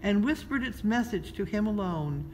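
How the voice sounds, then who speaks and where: A woman's voice: mid-range voice, animated, moderate pace, darkish tone. Woman reading a children's story, noisy recording. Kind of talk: read-aloud children's storytelling. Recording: noticeable background noise.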